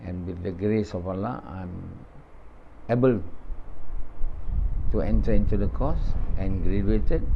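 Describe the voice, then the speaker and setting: An older Malaysian man, a native Malay speaker, gives a halting religious talk in accented English and often repeats himself. His voice sits low. It is recorded close up on a phone in a small room, with a dull lo-fi sound and a faint hiss behind it. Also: vocal fry. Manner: halting, repeats words, opens with Arabic recitation. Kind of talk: talk after dawn prayer.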